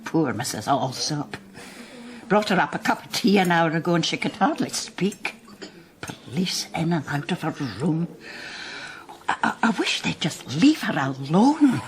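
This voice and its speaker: Fretful, animated older Scottish mother. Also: low voice, a little slow, a little hiss or hum. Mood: grumbling, concerned. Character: fretful, kindly older mother, grumbling over dinner. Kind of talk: naturalistic radio drama acting.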